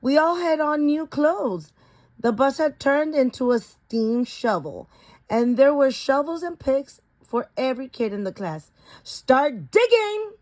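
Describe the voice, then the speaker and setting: Woman, children's storybook read-aloud, expressive, animated pitch, unhurried, occasional stumbles. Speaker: storybook reader.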